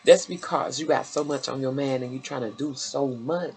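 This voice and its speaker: A woman's voice: rumbling low, moderate pace, a little mellow. A woman, chatting casually as an interviewer in a blunt, familiar and encouraging way. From Chicago, United States. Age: 50s. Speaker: interviewer and host.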